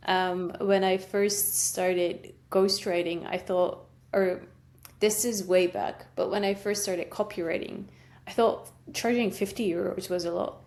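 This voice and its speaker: A woman's voice: mid-range voice, steady tone, a little slow, darkish tone. A woman hosting a podcast interview, talking in a chatty, rambling way with many fillers. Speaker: podcast host. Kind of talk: casual interview chat.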